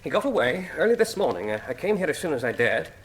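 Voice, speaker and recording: An actor playing a blustering, anxious old soldier turned police chief in a radio drama. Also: male voice, a little high, very animated, fast, slight background noise, poor audio. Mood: alarmed. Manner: gruff, agitated, anxious.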